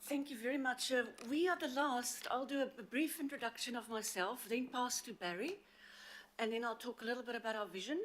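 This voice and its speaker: A woman with an accent from outside the city presents to a council committee on a handheld mic, earnest and halting with frequent fillers. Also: a little high. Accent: non-local accent. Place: council meeting chamber. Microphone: handheld microphone.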